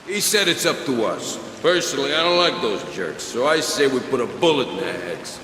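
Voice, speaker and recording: Callous game thug in charge, medium pitch, echoing, noisy tunnel sound. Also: male voice, big pitch swings, normal speed, a bit bright. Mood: bossy, menacing. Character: bossy, callous male gang henchman. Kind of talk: video game voice acting. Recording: echoey tunnel, noisy background.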